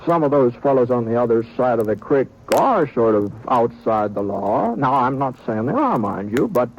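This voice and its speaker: A man's voice: mid-range voice, sing-song, lo-fi sound. A drawling easygoing gunman. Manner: drawling, folksy, halting. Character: drawling drifting frontier gunman, man, easygoing. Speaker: lead actor and narrator. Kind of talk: 1950s radio drama.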